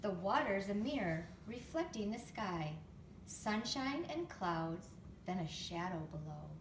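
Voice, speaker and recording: A woman reading a picture book to young children expressively, pausing to explain things and ask them questions. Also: medium pitch, very animated. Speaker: storytime reader, teacher to young children. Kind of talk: picture book read-aloud with chatty asides. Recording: roomy, distant mic, faint background noise.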